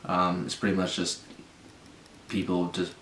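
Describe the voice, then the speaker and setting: A young man from Wisconsin answers interview questions in a relaxed, easygoing way, often starting with "uh". His voice is very low and stays flat. The room around him is echoey, with faint background noise. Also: a little slow. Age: young adult. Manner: relaxed, frequent uh fillers. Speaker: interviewee, accounting student.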